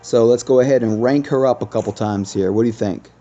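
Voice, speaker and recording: A man's voice: medium pitch, fast talker, mellow, dull audio, faint music behind. A man doing casual, explanatory game commentary as the host of a gaming video.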